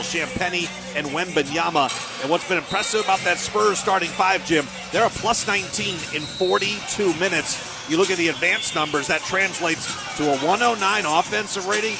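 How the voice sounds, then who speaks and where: A man's voice: high voice, normal speed, vocal fry, hardly any pauses. An American man hosting live basketball radio, giving pregame commentary. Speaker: radio basketball play-by-play host. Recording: live arena broadcast with music behind. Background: music and arena sounds.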